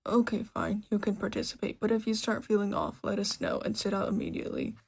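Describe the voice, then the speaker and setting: A woman voicing a gruff but caring male martial arts teacher, firm and brusque, in an audio story. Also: medium pitch, flat delivery, fairly quick, darkish tone. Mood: gruff concern.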